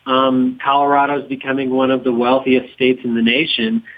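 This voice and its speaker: A man being interviewed as a documentary producer, talking informally and haltingly as he thinks aloud. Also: mid-range voice, narrow, tinny audio.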